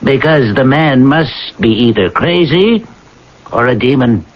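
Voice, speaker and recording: A man's voice: mid-range voice, lively intonation, measured pace. An actor playing a scornful, plain-spoken townsman in a radio drama, folksy and derisive. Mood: dismissive. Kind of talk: 1980s radio drama acting. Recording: dull old radio broadcast.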